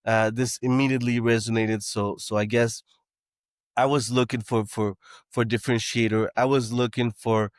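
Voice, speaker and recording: A man's voice: mid-range voice, little pitch movement, normal speed, no room sound, clean studio sound. A man who grew up in Canada, a startup founder talking as a podcast guest, with many restarts and fillers. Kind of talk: casual interview talk.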